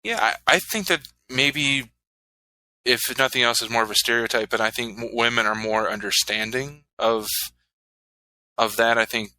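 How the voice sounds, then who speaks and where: A man in his thirties hosting a casual podcast interview, relaxed and rambling with fillers. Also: medium pitch, normal speed, no room sound, rough recording. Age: 30s. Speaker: podcast host.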